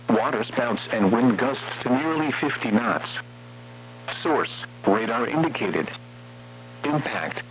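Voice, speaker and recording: A synthetic male text-to-speech voice with an American accent, reading formal weather warning bulletins in a measured, impersonal way over a radio broadcast. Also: mid-range voice, a bit bright. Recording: muffled lo-fi radio with faint hum.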